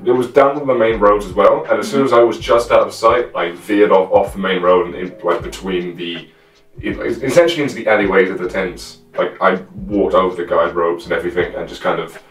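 A man in his twenties casually recounting a scene in a halting, informal way. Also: low-pitched. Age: 20s. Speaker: guest, fellow live roleplayer. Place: small room at home with costumes. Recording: roomy echo, distant microphone, music underneath.

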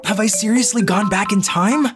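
A woman voicing an anxious, self-pitying, socially awkward boy narrating his own life in an animated manga story. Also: medium pitch, moderate pace, over music, natural voice. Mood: glum, reflective. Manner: expressive, self-deprecating monologue with outbursts.

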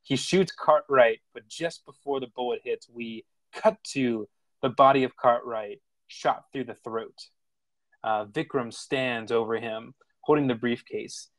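A man's voice: medium pitch, unhurried, no room sound, poor audio. Dry-sounding man narrating a screenplay. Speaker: narrator of a screenplay table read. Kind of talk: scripted narration of stage directions.

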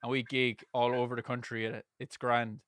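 A man in his thirties with an Irish accent, hosting a podcast from his home music room with a studio mic, talking loudly and energetically and laughing often. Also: mid-range voice, flat delivery, a little fast, no room sound. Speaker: podcast host. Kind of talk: casual chat. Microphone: headset and studio mic.

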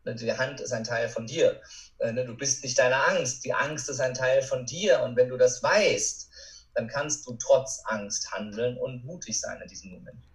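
A man in his thirties speaks as a guest coach on a podcast. His voice sits at a middle pitch, and he explains his points conversationally, as in an interview. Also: normal speed. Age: 30s.

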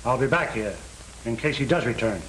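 An actor playing a no-nonsense parole officer, curt and questioning in 1930s film style. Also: male voice, mid-range voice, fairly quick, natural voice. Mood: businesslike. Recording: echoey old film sound, faint noise.

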